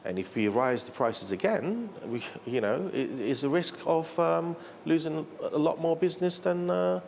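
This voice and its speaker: A man answering interview questions as a restaurant manager, halting and repeating himself. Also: a little high, creaky, darkish tone, slight background noise. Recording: light background ambience.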